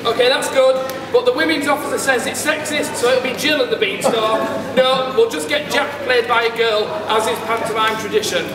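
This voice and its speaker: A man's voice: extremely high pitch, normal speed, few pauses, a crowd in the background. A man presenting a comic scripted stage introduction with mock-grand announcing. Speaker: pantomime presenter. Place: large hall, live stage performance, recorded from afar. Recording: distant, echoey hall, loud background noise.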